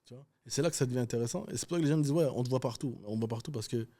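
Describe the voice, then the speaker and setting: Animated French-accented man telling stories. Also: medium pitch, very fast. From Canada. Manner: animated storytelling, loose and slangy. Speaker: podcast guest. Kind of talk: casual interview talk.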